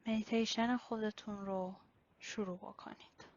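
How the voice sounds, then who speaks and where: A woman leading a guided body-scan meditation in a slow, instructional way with pauses. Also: medium pitch, steady tone. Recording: slightly dull, lo-fi recording.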